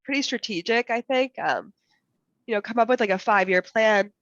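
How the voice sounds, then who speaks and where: A woman on a panel with a cold and a voice that is going, giving advice haltingly with many fillers. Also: on the high side, dry recording. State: fighting a cold, losing her voice. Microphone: video call. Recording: dull dry video call audio.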